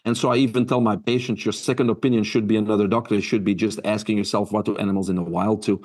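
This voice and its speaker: A man's voice: fairly low pitch, fairly even intonation, quick pace, a little mellow. A man in his fifties from Belgium with a Belgian accent, giving earnest interview answers full of fillers and restarts. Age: 50s. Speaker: guest.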